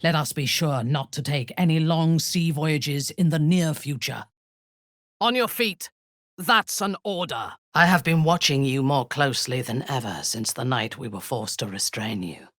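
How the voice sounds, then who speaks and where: A woman playing a haughty, commanding female warrior cleric with a husky voice, cruel yet intimate, in a fantasy video game. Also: deep voice, no echo, studio quality. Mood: fierce command, then low intimacy. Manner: commanding, haughty, deliberate, theatrical.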